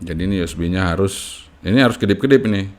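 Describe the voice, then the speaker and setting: Man, lowish pitch, informal hobbyist tutorial, unscripted, step-by-step, frequent checking tags. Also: fast, a little mellow. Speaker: amateur radio hobbyist giving a tutorial.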